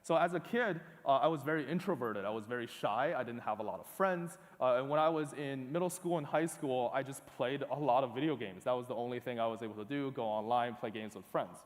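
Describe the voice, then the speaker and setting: A young man from northeast China, a conference speaker giving a talk with slides, halting and full of uh fillers and restarts. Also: medium pitch. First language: Chinese. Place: large auditorium. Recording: long hall reverb.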